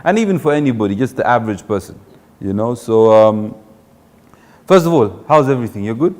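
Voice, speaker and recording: A man's voice: mid-range voice, expressive, brisk, a little mellow, a little hiss or hum. A man hosting a conversational talk show interview, informal, asking follow-up questions and dropping in encouraging interjections.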